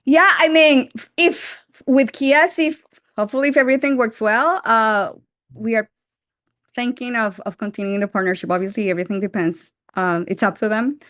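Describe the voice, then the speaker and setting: A woman's voice: on the high side, fairly even intonation, normal speed, a bit bright, no room sound, studio quality. A woman in her forties with a Colombian accent, a startup founder answering interview questions with frequent restarts and fillers. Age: 40s.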